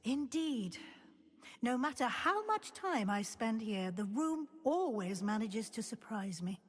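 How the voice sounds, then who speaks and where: An actress playing a composed, encouraging professor at a magic school in a video game, speaking in a measured, instructive way. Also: female voice, a little high, big pitch swings, husky, heavily acted. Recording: long hall-like reverb.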